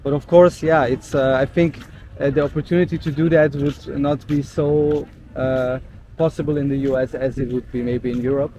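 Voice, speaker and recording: A man from Austria, a German native speaker, hosting a casual interview with a friend, quick to affirm with "yeah" and "mm-hmm". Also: mid-range voice, fairly even intonation, warm tone, lo-fi sound, a little hiss or hum. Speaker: travel vlogger host.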